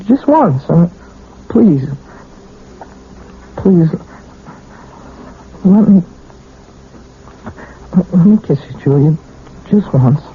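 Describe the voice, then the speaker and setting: An actor playing a lovestruck twenty-year-old piano student in a radio drama, earnest, halting and insistent. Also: male voice, high voice, animated, breathy edge, full character voice. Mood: pleading, ardent. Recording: muffled narrowband radio recording with noise.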